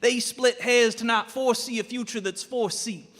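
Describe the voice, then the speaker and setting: A man's voice: extremely high pitch, steady tone, moderate pace, fairly bright tone, in a large hall. A man from the Washington, D.C. area performing slam poetry in a punchy, emphatic way. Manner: punchy, wordplay-heavy, emphatic delivery.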